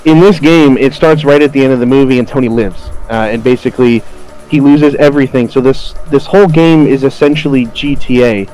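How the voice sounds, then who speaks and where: A man with a mid-pitched voice chats casually as a podcast co-host. He is colloquial and swears freely. Faint music plays behind him. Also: brisk, mellow, poor audio. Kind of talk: casual chat about video games. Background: background music.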